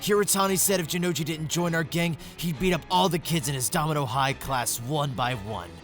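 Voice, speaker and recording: A man's voice: fairly high pitch, fairly quick, music in the background, lightly acted. A man playing a cocky yet cowardly teenage gang lackey, slangy and complaining, in an audio drama. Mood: gossiping, smug.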